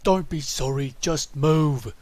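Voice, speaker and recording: A man's voice: a little high, takes it slowly, darkish tone, dry recording. A man voicing a curt, impatient commander in projected scripted character takes. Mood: firm, impatient. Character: commanding officer type giving curt, impatient orders.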